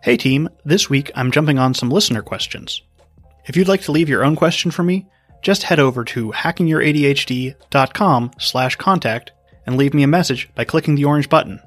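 A man hosting a podcast, giving calm, explanatory answers from a script. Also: fairly high pitch, brisk, dry recording, faint music behind. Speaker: podcast host.

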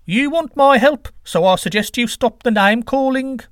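A man's voice: normal speed, no room sound, clean studio sound. A man voicing an indignant, flustered wind god who is easily riled, in a comic story. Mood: huffy. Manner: high, flustered, indignant exclaiming.

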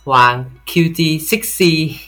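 Male interview guest, Vietnamese accent, slow halting delivery, bright tone, casual talk. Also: on the high side. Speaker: podcast guest, software tester.

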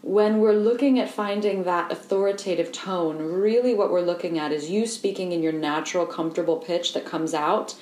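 A woman voice coach giving a calm, explanatory lesson and guiding the listener through simple humming exercises. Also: on the low side, reverberant. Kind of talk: instructional voice lesson.

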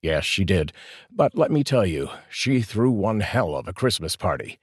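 A blunt, wry neighbor husband, as a male narrator voices him. His voice is deep and husky. It is recorded dry and close. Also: big pitch swings, moderate pace, dry recording, exaggerated voice.

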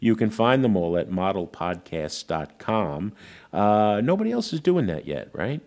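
A man's voice: lowish voice, a little slow. A man co-hosting a podcast in casual, jokey chat. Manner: jokey, animated. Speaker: podcast co-host.

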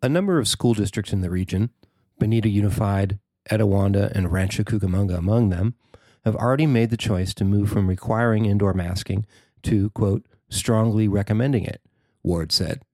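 A man's voice: fairly low pitch, moderate pace, dark tone, no room sound. An American man reading a scripted news report as a reporter. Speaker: reporter reading own written article.

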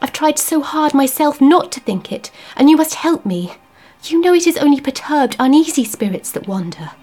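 A woman reading the part of a gentle, devout young woman in an audiobook ghost story, emotional and pleading. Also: mid-range voice, big pitch swings, a little fast, slightly rough, faint music behind.

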